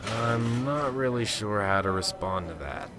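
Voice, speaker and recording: Man doing a sarcastic cynical detective, deadpan, lowish voice, noisy recording. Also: measured pace, close to an everyday voice. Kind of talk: amateur radio drama acting.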